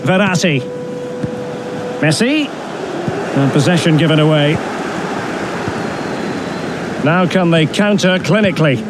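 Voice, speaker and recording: A man playing an excitable football commentator in a video game match. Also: on the high side, slow pace, pauses often. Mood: focused. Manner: excitable, voice leaps on big moments. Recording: loud stadium crowd and ambient noise.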